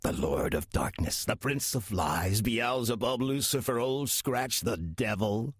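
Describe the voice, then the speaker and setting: A man playing a wry demon in the guise of an older church usher in an audio drama, with a husky voice and dry, deadpan delivery. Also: medium pitch, no echo. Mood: sardonic. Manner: dry, deadpan, unhurried sarcasm. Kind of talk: audio drama acting with first-person narration.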